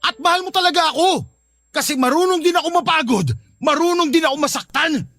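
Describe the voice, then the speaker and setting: A man's voice: very high voice, brisk. A man with a Filipino accent acting as a hurt, insistent young boyfriend in a radio drama, earnest and pleading. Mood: pleading, wounded. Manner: earnest, pleading, emotionally pressing.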